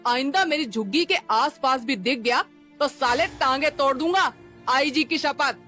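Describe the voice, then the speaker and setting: Woman putting on an angry, forceful slum bully. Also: high voice, a little slow, faint music behind, voice pushed up. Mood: furious, threatening. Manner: forceful, abusive street slang. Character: angry, bullying slum man, rough and threatening.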